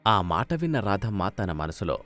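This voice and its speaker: A man's voice: lowish voice, big pitch swings, moderate pace, fairly bright tone, soft background music. A man narrating a scripted moral story as a storyteller.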